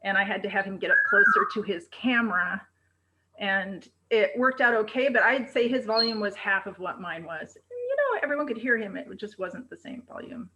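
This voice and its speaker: A woman presentation coach who projects her voice loudly and with high energy, speaking on a video call. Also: expressive, normal speed, noisy background.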